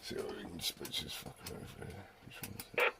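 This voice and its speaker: A man's voice: brisk, noisy background. A British man talking in an informal, sweary, rambling way, switching between casual chat and CB radio calls. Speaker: CB radio operator and vlogger.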